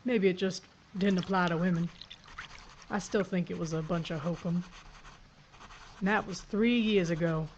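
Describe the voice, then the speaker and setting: A woman's voice: on the low side, steady tone, darkish tone, highs cut off, slight background noise, lightly acted. A woman playing a tough frontier sheriff. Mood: reflective. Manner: brisk and commanding, orders in short lines. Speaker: narrator. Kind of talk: audio drama acting.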